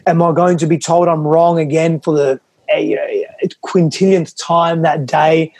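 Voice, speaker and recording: A man's voice: fairly high pitch, fairly even intonation, moderate pace, darkish tone, no echo. A man from Western Australia, whose was Greek, speaking earnestly and reflectively as a podcast guest, often restarting his phrases. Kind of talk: reflective interview talk.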